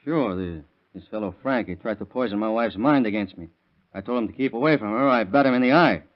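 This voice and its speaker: A man's voice: on the low side, brisk, lightly acted. A man playing a hot-tempered, blunt young father in a 1930s radio crime drama. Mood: defensive, blunt. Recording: dull lo-fi vintage radio recording.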